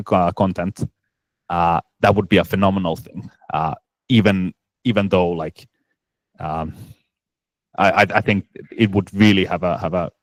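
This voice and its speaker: A man's voice: deep voice, dry recording. A man presenting at a conference Q&A, answering questions with many restarts and fillers. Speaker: startup presenter.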